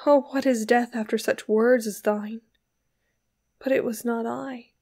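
Tender, anguished young woman, high and plaintive , acted by a narrator. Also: steady tone, unhurried, gravelly, a little mellow. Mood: desperate pleading. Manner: plaintive, pleading, archaic phrasing. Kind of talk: dramatic literary audiobook reading.

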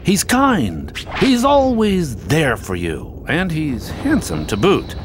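A man playing a slick, sarcastic, hot-tempered underworld god in a video game, with theatrical, drawn-out delivery. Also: fairly high pitch, huge pitch swings, noisy background, heavily acted. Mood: mock admiring. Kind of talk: animated villain acting in a video game.

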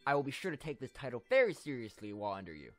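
A man's voice: medium pitch, big pitch swings, very fast, faint music behind, lightly acted. A young man voicing an eager, awkward cartoon unicorn squire with an earnest, polite manner. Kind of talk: fan cartoon voice acting.

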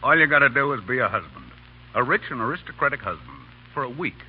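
An actor playing a tough, slangy, commanding gambling boss in a 1930s radio drama. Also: male voice, medium pitch, animated, fast, lightly acted. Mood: slyly amused. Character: brash, commanding male big-city gambling gang boss. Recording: muffled, noisy 1930s radio broadcast.

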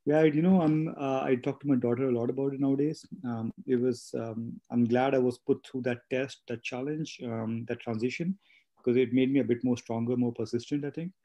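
A man's voice: medium pitch, fairly even intonation, brisk, mellow, highs cut off. A man in his late thirties from India, talking through his career in a casual interview, rambling with many fillers and restarts. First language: not English. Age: late 30s. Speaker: guest, spa business owner.

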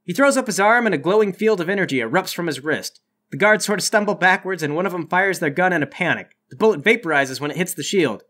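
A man with a fairly high, bright voice acts as game master. He narrates the scenes of a tabletop role-playing game. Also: animated, fast.

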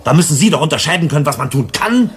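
A man's voice: on the high side, expressive, fast talker, a little hiss or hum, natural voice. An actor playing a principled, cautious male psychologist in a sci-fi radio play, emphatic and lecturing. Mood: firm. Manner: emphatic, didactic.

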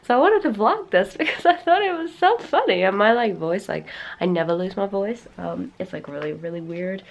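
A young woman with a hoarse voice that has gone deep from a cold, chatting casually to a handheld camera, giggly and rambling with many fillers. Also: lively intonation, moderate pace. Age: young adult. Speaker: vlogger. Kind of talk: casual vlog chat. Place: bedroom at home, then staff room at work. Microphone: handheld camera at arm's length.

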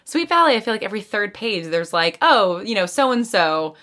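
A woman with a bright, forward voice at an average pitch chats as a podcast guest. Her talk is informal and loosely phrased, strung together with "like". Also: very animated, moderate pace. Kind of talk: casual chat.

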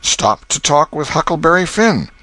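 A man's voice: a little high, big pitch swings, normal speed, sharp tone, no room sound, voice pushed up, exaggerated voice. Storybook schoolboy, played by a grown man: cheeky, folksy, plain-spoken, lifted above the reader's register. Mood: bold, plain. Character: cheeky, folksy American schoolboy, sweet on a girl.

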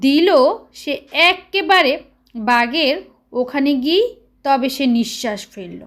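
Female storyteller, children's tale narration, high voice, very lively intonation, expressive. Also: unhurried. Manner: expressive, dramatized telling.

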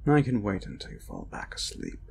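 A man playing an ancient, cruel incubus demon in a dark roleplay audio, mocking and gloating, with slow menace and breathy whispers. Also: lowish voice, wildly swooping pitch, slight background noise, full character voice. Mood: mocking disappointment. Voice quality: creaky, breaking into breathy whispers. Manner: slow, menacing, taunting, drawn-out. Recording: ominous music behind the voice.

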